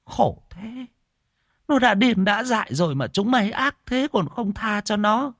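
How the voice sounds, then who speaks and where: A man voicing a gruff, foul-mouthed old woman of about seventy who scolds loudly but is kind underneath. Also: high voice, animated, unhurried, no room sound, clean studio sound, voice pushed up, exaggerated voice. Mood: indignant. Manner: scolding, cursing.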